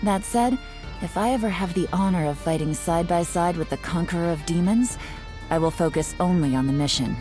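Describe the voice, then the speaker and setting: Game spymaster: low female voice, composed and shrewd, sly teasing edge, measured delivery over prominent music actress in character. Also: darkish tone, lightly acted. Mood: respectful, resolute. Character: cool, shrewd, teasing female intelligence agent. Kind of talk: scripted video game character monologue.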